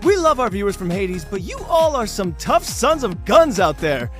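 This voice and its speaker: A man's voice: high-pitched, big pitch swings, soft background music. A man playing a flamboyant, cynical game show host in an audio drama, slick, mocking and theatrically condescending. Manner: theatrical, condescending, drawn-out showy delivery. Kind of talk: bombastic TV game show presenting.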